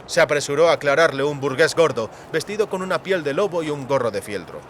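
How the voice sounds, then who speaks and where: A man's voice: a little high, animated, quick pace, slight background noise. A man reading an audiobook as scripted dramatic narration.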